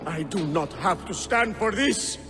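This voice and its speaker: A man's voice: high voice, animated, a little slow. Power-mad young nobleman, explosive and imperious, widely swinging delivery in a reverberant hall with music. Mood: furious. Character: ruthless, power-hungry young lord, raging at his father. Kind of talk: dramatic game cutscene acting. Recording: long hall reverb under loud music.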